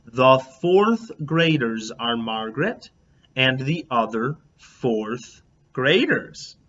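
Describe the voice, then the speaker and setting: A man's voice: medium pitch, very animated, very slow, a bit bright, full character voice. A man voicing an earnest, bossy third-grade girl from a storybook, emphatic and insistent. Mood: patronizing. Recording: dull lo-fi, background noise.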